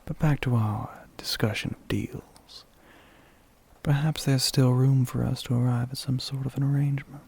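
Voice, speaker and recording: A man voicing a flirty, sly, gentlemanly demon with a Southern US accent in an ASMR roleplay, half-whispered, breathy and husky, with a teasing drawl. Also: medium pitch, steady tone. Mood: smooth, teasing. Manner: slow, teasing drawl with pet names.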